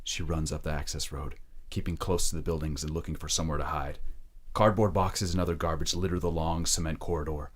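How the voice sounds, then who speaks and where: A male narrator with a remarkably deep voice. He reads scripted scene description for an audio drama. His delivery is measured and descriptive. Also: steady tone.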